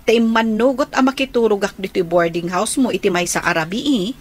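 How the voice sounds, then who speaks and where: An actress playing a casual, friendly older sister in an Ilocano radio comedy drama. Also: female voice, medium pitch, fairly quick, natural voice.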